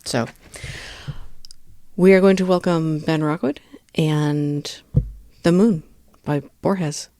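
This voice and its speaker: A woman chats casually as a podcast host, with plenty of creak in her voice. Her delivery is wry and self-deprecating. The sound is dry, with no room echo. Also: low voice, steady tone, takes it slowly, pauses often, mellow. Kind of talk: casual chat introduction.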